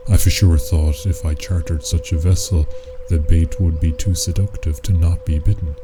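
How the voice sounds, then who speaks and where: Man acting a very deep, brooding sinister schemer. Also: steady tone, moderate pace, close to an everyday voice. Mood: brooding, frustrated. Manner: archaic, ominous, musing phrasing. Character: brooding, menacing schemer obsessed with a sea beast. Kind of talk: theatrical radio drama acting. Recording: music playing loudly behind.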